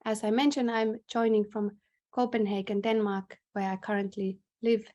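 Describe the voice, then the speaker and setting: A woman from northern Finland with a Finnish accent, formally introducing a conference session on a video call in a measured, hesitant way. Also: mid-range voice, little pitch movement, mellow, muffled recording. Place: indoors in a bright room, on a video call. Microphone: video call microphone.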